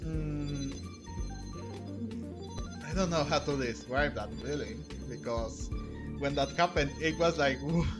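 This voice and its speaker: A man with a slightly high voice playing along with a game in casual commentary. He talks slowly and hesitantly, rambling as he thinks. Game music is clearly heard behind him. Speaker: gaming video creator. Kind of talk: casual let's-play commentary, answering game dialogue.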